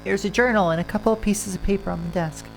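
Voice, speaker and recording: A woman's voice: mid-range voice, fast talker, vocal fry, rough recording. Nasal, congested woman streamer. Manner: reads game lines plainly in first person, breaking off to chat. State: congested from a winter cold. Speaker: game streamer. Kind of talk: casual chat with chat, reading game text aloud. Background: faint game music.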